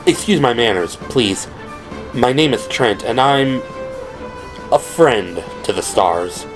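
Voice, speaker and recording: A man playing a suave, mysterious man in his forties with a low, musical voice and an unhurried, courtly, cryptic way of talking in an audio drama. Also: expressive, music in the background. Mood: amused, courteous. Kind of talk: audio drama character dialogue.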